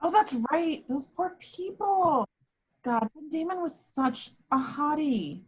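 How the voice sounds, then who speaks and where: A woman acts out an airy young actress at a home script reading. The voice is high and very lively in melody. Words come slowly, with naive gushiness. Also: rough recording. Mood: airy, gushing. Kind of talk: amateur table reading of a film script.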